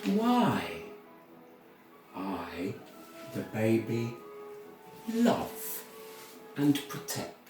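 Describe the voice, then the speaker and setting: A man voicing a gentle, protective good fairy in slow, halting, solemn declarations. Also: mid-range voice, wildly swooping pitch, faint music behind, heavily acted. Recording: very echoey room, far from mic.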